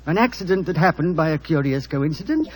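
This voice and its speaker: A man's voice: a little high, lively intonation, a little fast. A man playing a suspicious, sarcastic husband in 1940s radio style, petulant and formal. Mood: bitingly sarcastic.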